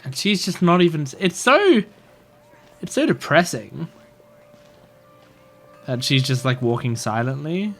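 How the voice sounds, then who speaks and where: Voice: medium pitch, big pitch swings, a little slow, pauses often, a bit bright, soft background music. A gamer giving casual, reflective gameplay commentary and thinking aloud. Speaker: gamer reacting on a let's play channel.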